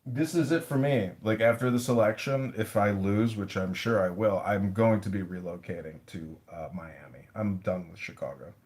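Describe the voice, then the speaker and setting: A man's voice: on the low side, normal speed, hardly any pauses, a little mellow. A man running for office, talking casually in an interview, blunt and rambling, with the odd swear word. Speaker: mayoral candidate.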